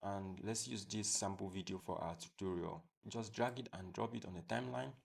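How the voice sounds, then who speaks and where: Low, gravelly male tutorial host. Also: fairly quick. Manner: step-by-step, repetitive explaining. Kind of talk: screen-recorded software tutorial.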